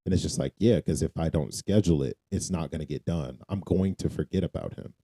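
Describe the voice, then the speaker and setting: A man's voice: rumbling low, a little fast, warm tone, slightly rough, no room sound. A man co-hosting a podcast, talking casually about faith and repeating phrases for emphasis. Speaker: podcast co-host.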